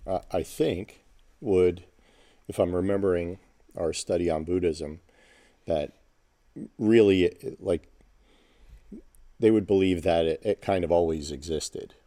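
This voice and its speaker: A man's voice: low voice, unhurried, pauses often, dark tone, dry recording. A man co-hosting a podcast, chatting in an agreeable, thoughtful way. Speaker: podcast co-host. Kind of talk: casual podcast chat.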